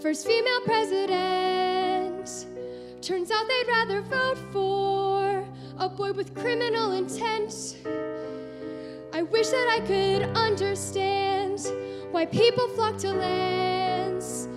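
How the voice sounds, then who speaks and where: A young woman sings as an ambitious teenage girl, bitter about losing, her voice very high and drawn out slowly. Loud guitar music plays behind her. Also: steady tone. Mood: bitter, sung. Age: young adult. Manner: sung lament, sharp. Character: driven, bitter teen girl candidate. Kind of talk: musical theater singing. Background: guitar accompaniment.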